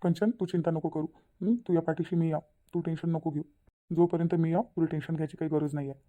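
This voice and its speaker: A man's voice: a little high, steady tone, quick pace, dark tone, dull audio, slight background noise, natural voice. A man voicing a lovesick, brooding young village son in a cartoon, with a rural Maharashtrian accent and a repetitive way of talking. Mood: worried, determined. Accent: rural Maharashtrian Marathi. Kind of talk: cartoon comedy dialogue.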